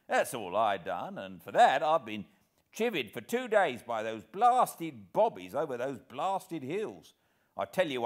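A rough, down-and-out tramp who whines and grumbles loudly, his voice jumping up high as he pleads, as a male narrator acts him out. The delivery is surly and ragged, with wide swings in pitch. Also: heavily acted. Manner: surly, loud, beggar's whine. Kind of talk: audiobook character voice.

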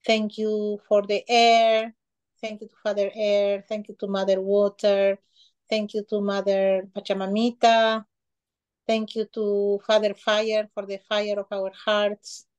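A middle-aged woman from Peru, speaking slowly and reverently as a healer on a video call. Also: mid-range voice, level tone, smooth, muffled recording. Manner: slow, soft-spoken, reverent gratitude lists. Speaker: shamanic healer guest. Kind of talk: spiritual talk on a video call. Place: small decorated room indoors. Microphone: video call webcam.

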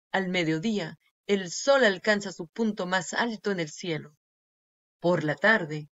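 A woman reading a children's nonfiction book aloud with deliberate, clear phrasing for young learners. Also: lowish voice, fairly bright tone. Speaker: read-aloud narrator. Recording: dull, lo-fi sound.

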